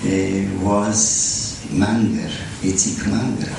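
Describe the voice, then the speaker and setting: An older man from Bukovina, reminiscing slowly and fondly in halting phrases while interviewing onstage in a conference hall. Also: deep voice, fairly even intonation, vocal fry, background noise. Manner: slow, halting, fond storytelling. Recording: echoey hall, distant mic.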